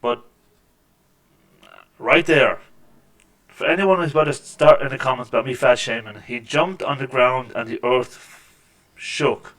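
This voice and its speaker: A man with a deep voice hosting a casual let's-play, making wry, joking remarks over the gameplay at a desk microphone. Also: a little slow, long pauses, fairly bright tone. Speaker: gaming channel host. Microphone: desk microphone with mixer.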